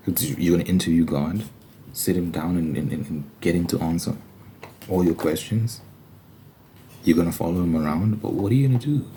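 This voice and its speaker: Low, mellow-voiced man in informal ASMR monologue. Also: slight background noise, low-quality recording. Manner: questioning, emphatic, uses rhetorical questions. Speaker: host of an ASMR Bible study channel. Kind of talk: informal ASMR monologue, argumentative Bible commentary.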